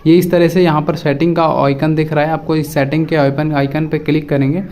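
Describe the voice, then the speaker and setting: A man's voice: fairly high pitch, flat delivery, brisk, a little mellow. A man presenting a casual step-by-step phone app tutorial, addressing viewers directly and often repeating his instructions.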